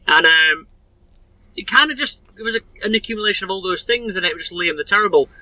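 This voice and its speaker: A man's voice: high voice, fast talker, bright, forceful tone, rough recording. A man in his thirties from Sheffield with a Yorkshire accent, a guest in a casual chat, rambling and self-deprecating, often restarting his sentences. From Sheffield, England. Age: 30s.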